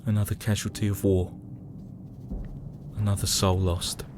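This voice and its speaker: Man acting a low-voiced, haunted young British soldier. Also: little pitch movement, measured pace, heavy background noise. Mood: somber, weary. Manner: somber, reflective, dramatic. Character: young, weary British soldier in 1917 trenches. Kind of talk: first-person audio drama diary narration. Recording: music behind the voice. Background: dramatic music.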